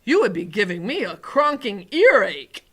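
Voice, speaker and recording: A woman voicing a simple-hearted, excitable friendly giant from a children's storybook, with folksy mangled grammar and drawn-out exclamations. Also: medium pitch, breathy edge. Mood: cautioning.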